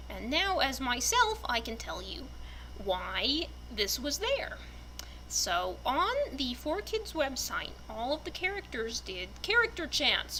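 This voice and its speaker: A woman with a high, bright voice narrates as an enthusiastic fan. Her delivery is very animated and expressive, like someone reading aloud for fellow fans. A faint noise sits behind her. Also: poor audio. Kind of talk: dramatic reading and casual fan commentary.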